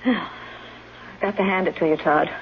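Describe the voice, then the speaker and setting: A woman's voice: fairly low pitch, measured pace, lightly acted. A woman playing a brazen, scheming caregiver in a 1970s radio drama, with a wry, cutting manner. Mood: cool, sardonic. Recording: muffled, noisy 1970s radio broadcast.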